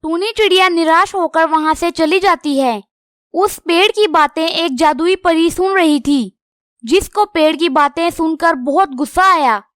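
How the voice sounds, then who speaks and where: A woman's voice: extremely high pitch, unhurried, fairly bright tone, no room sound, clean studio sound. A woman narrating a scripted children's cartoon story.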